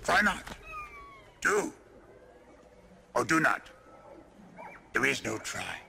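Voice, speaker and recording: A man voicing a tiny, ancient, wise creature master who speaks with a croaky, gravelly voice in slow, backwards-ordered stern teaching lines. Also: medium pitch, big pitch swings, pushed and bright, slight background noise, exaggerated voice.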